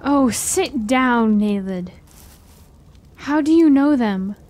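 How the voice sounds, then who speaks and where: A woman playing a gentle, troubled girl in a fantasy radio drama, speaking in an earnest, plaintive way. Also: a little high, expressive, slow pace, a little hiss or hum. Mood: earnest, puzzled. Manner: earnest, plaintive, simple formal phrasing. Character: gentle, troubled girl, an unwanted only child's sister.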